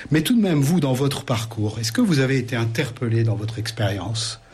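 A man conducts a formal interview, his voice very husky and breathy. He asks long, courteous questions that wind around, with small repetitions as he goes. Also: medium pitch, very animated, fairly quick, darkish tone.

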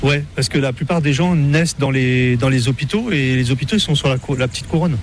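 Parisian man, halting chat outdoors. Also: medium pitch. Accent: Parisian French. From Paris, France. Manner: hesitant, many fillers and restarts. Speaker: interviewee. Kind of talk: casual interview chat. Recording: clear background noise.

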